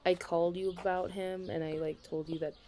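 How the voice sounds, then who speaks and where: Young woman acting as chatty bestie in an asmr roleplay, lowish mellow voice, bit dramatic. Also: level tone, fairly quick, a little hiss or hum, natural voice. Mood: chatty, exasperated. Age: young adult. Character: chatty, caffeine-loving female best friend, self-deprecating about dating. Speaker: ASMR audio roleplay performer. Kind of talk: ASMR roleplay, casual chat.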